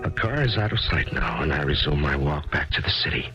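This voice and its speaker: An actor playing a grieving widower writer in a 1970s radio drama, coaxing in scenes and narrating reflectively in first person. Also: male voice, very deep voice, very animated, fairly quick. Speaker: narrator and lead. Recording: muffled 1970s radio broadcast with music underneath.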